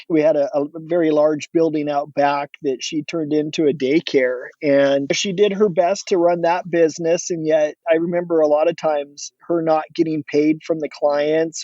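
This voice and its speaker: A middle-aged man from Oregon, a guest entrepreneur, talking casually in a relaxed, rambling way with many restarts. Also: on the high side, dry recording, lo-fi sound. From Oregon, USA. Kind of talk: casual interview talk.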